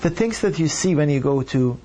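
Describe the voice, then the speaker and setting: A doctor from Iran telling his story in an earnest, measured way. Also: fairly high pitch, lively intonation, mellow, a little hiss or hum. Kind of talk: reflective personal account.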